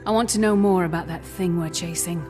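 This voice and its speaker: No-nonsense female fantasy game hero acted by a woman, lowish voice, sober and terse, music underneath. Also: fairly quick, a little mellow, big-room sound, lightly acted. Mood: grimly curious. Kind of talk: scripted video game dialogue.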